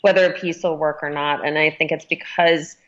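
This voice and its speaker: A woman's voice: low voice, fairly even intonation, fairly quick, a bit bright, lo-fi sound. A woman speaking as a magazine editor in a conversational interview, explaining things thoughtfully.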